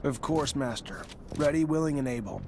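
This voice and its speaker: An actor playing an earnest, composed young cadet in a sci-fi video game, speaking in a measured, solemn way. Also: male voice, fairly high pitch, noisy background, lightly acted. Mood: earnest, assured. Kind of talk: scripted game narration and dialogue. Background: background music.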